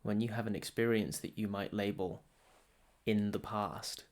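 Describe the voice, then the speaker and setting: Very slow, low-pitched man guiding meditation. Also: steady tone, a little mellow. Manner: soothing, deliberate, with long pauses between short phrases. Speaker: meditation teacher. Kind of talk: guided meditation.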